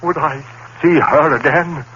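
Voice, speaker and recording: An actor playing a frightened, reason-bound professor in a 1940s-style radio play, narrating in a dramatic, brooding way. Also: male voice, a little high, a little slow, bright, forceful tone. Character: haunted male professor, rational and frightened. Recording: dull old radio broadcast with faint hiss.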